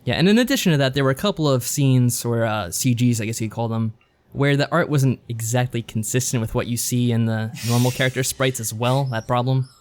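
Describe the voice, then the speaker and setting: Measured man co-hosting, mid-pitched voice. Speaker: podcast co-host. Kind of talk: casual visual novel review chat.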